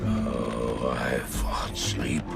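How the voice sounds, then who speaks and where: Deep gravelly haunted narrator, slow and brooding, loud score behind. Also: male voice, fairly even intonation, full character voice. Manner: brooding, hushed, rising to anguished outbursts. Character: haunted, guilt-ridden man recounting dark memories. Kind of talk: cinematic game narration. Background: loud music score.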